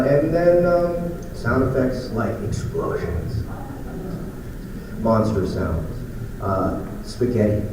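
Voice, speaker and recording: A man's voice: fairly low pitch, noisy background. A man giving an informal conference presentation as an audio producer, conversational with joking asides, recorded from a distance in a large echoey room.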